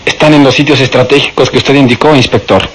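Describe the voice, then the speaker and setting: Un actor interpretando a un agente subordinado que rinde informe con formalidad en una radionovela. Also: male voice, medium pitch, fast, noisy background, lightly acted. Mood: dutiful, alert. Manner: formal, reporting. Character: dutiful male police subordinate reporting briskly. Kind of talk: radio drama acting.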